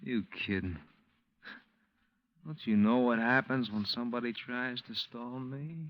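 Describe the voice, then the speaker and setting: An actor playing a taunting, threatening gangster in a 1950s radio drama. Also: male voice, mid-range voice, a little slow, noisy background, natural voice. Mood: taunting menace. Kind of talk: 1950s radio thug acting. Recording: dull vintage radio recording.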